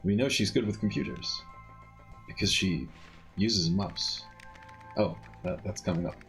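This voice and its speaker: A man's voice: lowish voice, unhurried, gravelly, a bit bright, soft background music. A man chatting casually with joking, wry asides while commentating on a video game speedrun. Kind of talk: casual live gameplay chat.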